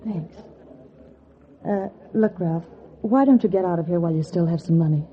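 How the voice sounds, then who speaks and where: Female actor as teasing nightclub flower girl, lowish voice, knowing manner, 1940s radio drama, dull sound. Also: moderate pace, lightly acted. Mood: coaxing, resigned. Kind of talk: 1940s radio noir acting. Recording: dull vintage radio recording.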